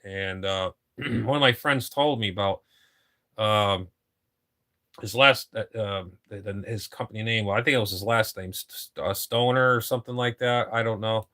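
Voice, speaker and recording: A man's voice: lowish voice, normal speed, pushed and bright. A man in his fifties, a ham radio operator chatting casually on air, rambling and repeating himself, with casual swearing. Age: 50s.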